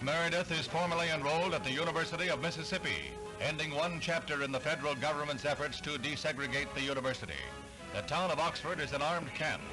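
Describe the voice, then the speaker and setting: A man announcing an old newsreel in a brisk, formal style. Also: a little high, poor audio. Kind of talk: vintage newsreel narration. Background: music.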